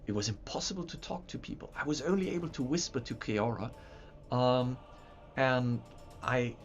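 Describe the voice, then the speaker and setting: A man hosting a livestream, chatting casually in a rambling way with stumbling restarts and repeated words. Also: lowish voice. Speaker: livestream host showing map software. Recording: dull lo-fi sound with faint music.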